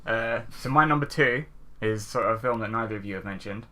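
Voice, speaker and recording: A man's voice: on the low side, brisk, pushed and bright. A man co-hosting a podcast, explaining things in a casual, conversational way with some tentative hedging. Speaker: podcast co-host. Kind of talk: casual film discussion.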